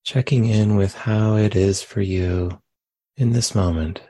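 A man leading a guided meditation as a teacher, speaking gently in short phrases with long, unhurried pauses. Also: fairly low pitch, steady tone, no echo. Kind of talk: guided meditation, spoken live.

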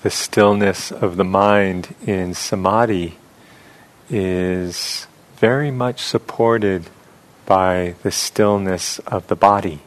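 Slow, low-voiced man guiding meditation. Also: fairly even intonation. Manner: soft-spoken, unhurried, with long pauses. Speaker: meditation teacher. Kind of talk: guided meditation instruction.

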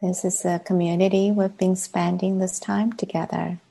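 A woman, a native Chinese speaker, leading a guided meditation as a teacher, gently and with long unhurried pauses. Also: fairly low pitch, level tone, gravelly, husky.

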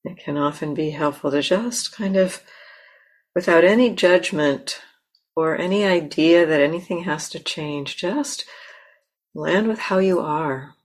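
A woman meditation teacher leading a guided meditation in a gentle, unhurried manner, often pausing mid-phrase. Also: low-pitched. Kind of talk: guided meditation, slow with long pauses. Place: meditation center.

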